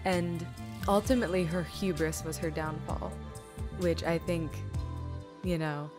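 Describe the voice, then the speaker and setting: Low-pitched woman chatting over clear background music. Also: fairly even intonation, measured pace. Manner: informal, rambling, geeky, blunt. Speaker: Q&A host. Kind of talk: casual chat. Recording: music playing behind the voice.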